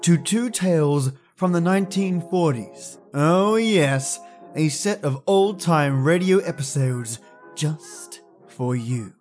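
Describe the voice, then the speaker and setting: A man's voice: a little high, darkish tone. A man hosting a podcast, giving a playful, dramatic scripted introduction to old radio tales. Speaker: podcast host.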